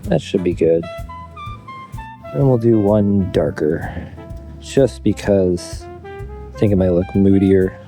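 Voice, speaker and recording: A man's voice: low voice, slow, long pauses, dark tone, faint music behind. A man with a cold, filmed on a phone outdoors at dusk, muttering as he explains his camera settings. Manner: muttering, thinking aloud. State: congested from a cold. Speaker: photography vlogger.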